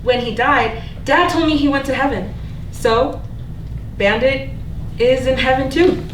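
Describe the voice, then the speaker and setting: A woman playing a bitter, sharp-tongued older sister in a staged play reading, sullen and exasperated. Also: high voice, unhurried. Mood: reassuring. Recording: distant mic, echoey room, faint noise.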